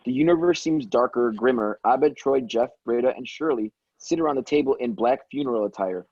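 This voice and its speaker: A man's voice: mid-range voice, fairly quick, vocal fry, a little mellow, husky, no echo, muffled recording. A man reading scripted stage directions as narrator of a table read.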